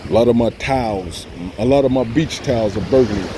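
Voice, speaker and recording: A man from Southern California with a Southern Californian accent, filming himself outdoors by the beach and talking casually in a relaxed, rambling way that circles back on itself. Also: mid-range voice, a little fast, noisy background. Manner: relaxed, rambling, repeats himself, chuckles often. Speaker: vlogger. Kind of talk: casual tribute vlog. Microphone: phone or camera filming himself.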